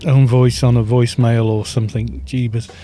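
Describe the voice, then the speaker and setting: A man chatting casually on a game livestream, soft-spoken and rambling, with little chuckles, into a studio microphone at home. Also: medium pitch, level tone, normal speed, a little hiss or hum. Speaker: livestream gamer. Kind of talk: casual chat while gaming, reading chat.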